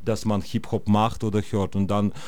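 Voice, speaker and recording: A man's voice: on the low side, little pitch movement, moderate pace, mellow, no room sound. Man, rambling interview guest. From Russia. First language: Russian. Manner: rambling, many fillers and restarts. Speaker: guest musician. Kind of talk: casual interview.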